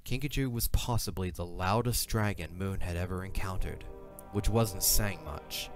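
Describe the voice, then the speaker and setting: A man's voice: sits low, normal speed, over music. A man narrating a scripted fantasy audio drama as a dramatic storyteller.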